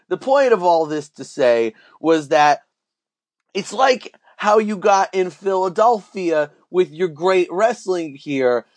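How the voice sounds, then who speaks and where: A man acting as a naive Canadian oddball on a comedy podcast. His voice sits high and he takes his time, rambling in a folksy, earnest way with a put-on Canadian accent. The sound is very dry. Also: no echo. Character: dim, earnest Canadian man with absurd opinions. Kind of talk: comedy podcast character banter.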